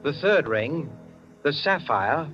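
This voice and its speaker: A man acting as a measured, dramatic narrator of an old-time radio suspense tale. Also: on the high side, animated, lo-fi sound. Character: measured, ominous male storyteller of a suspense tale. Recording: vintage band-limited radio recording, prominent music.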